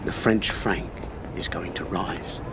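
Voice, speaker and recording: A man's voice: deep voice, slow, gravelly, very noisy, heavily acted. An actor playing an anxious, scheming bank clerk and family man in a period audio drama, speaking formally and self-importantly. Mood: furtive, persuasive. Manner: formal, old-fashioned, self-important phrasing.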